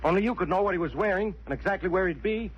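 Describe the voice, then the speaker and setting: An actor playing a blunt, suspicious police inspector with an American accent in a 1940s radio crime drama, accusing and sarcastic. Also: male voice, high voice, rapid-fire, slightly rough. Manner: hard-boiled, accusing, sarcastic. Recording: muffled, hissy old radio recording.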